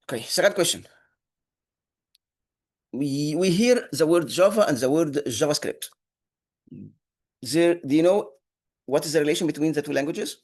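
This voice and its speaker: A man whose first language is Arabic, teaching an online programming class, halting with many fillers and restarts. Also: a little high, animated, a little mellow. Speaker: instructor. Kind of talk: online lecture.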